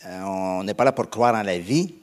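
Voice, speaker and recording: A male pastor from Canada with a Québécois accent, preaching a sermon in a conversational, halting way with frequent fillers and restarts. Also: low-pitched. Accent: Québécois French.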